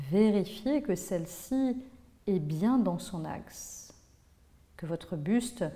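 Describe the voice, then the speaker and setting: Woman, yoga relaxation teacher, lowish pitch, mellow timbre, measured instructions with pauses, reverberant hall sound.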